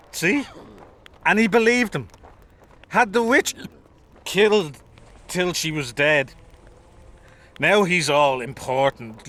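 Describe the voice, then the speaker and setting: An actor playing a blunt, boozy common soldier in a fantasy game, coarse and combative. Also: male voice, a little high, bright, forceful tone, heavily acted. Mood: agitated, bitter. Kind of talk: video game dialogue acting.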